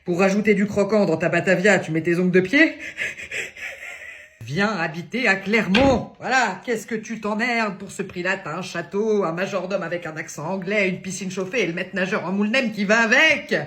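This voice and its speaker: A woman's voice: mid-range voice, normal speed, close to an everyday voice. A woman voicing a brash, crude middle-aged aunt from the provinces, ranting and teasing down the phone in a comedy sketch. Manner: loud, crude, teasing, one-sided phone rant.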